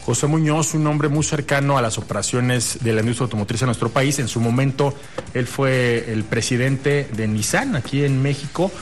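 A man's voice: medium pitch, normal speed. A man with a Mexican accent hosting a radio show in a courteous, chatty, conversational way. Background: music bed.

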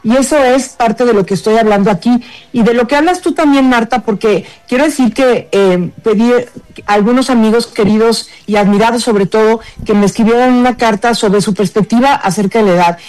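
A woman, a guest author on a radio talk show, speaking in a warm, assured, explanatory way. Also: a little high, fairly quick, poor audio.

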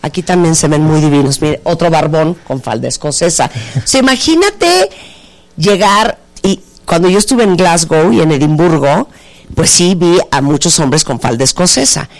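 A woman's voice: low-pitched, lively intonation, normal speed. A middle-aged woman with a Mexican accent hosting an interview in a playful, flirtatious, exclamatory way. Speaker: show host.

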